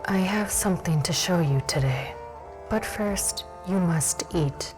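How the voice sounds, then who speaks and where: A woman playing a cold, hostile, commanding captor in a dark fantasy audio drama, deliberate and disdainful. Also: on the low side, soft background music, natural voice.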